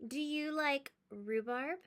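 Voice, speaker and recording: Lady doing a feisty little sister from a kids book, high and bright, indignant, emphatic. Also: slow pace. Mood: sweetly scheming. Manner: defiant, emphatic, competitive. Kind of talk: read-aloud storybook character voice.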